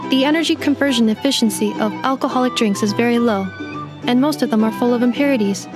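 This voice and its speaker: A female robot, voiced by a woman, with a somewhat high voice and crisply literal, formal delivery. Every line comes out exact and matter-of-fact, like a machine reporting data. Game music plays underneath. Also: quick pace, lightly acted. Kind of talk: game voice acting. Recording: game dialogue over background music.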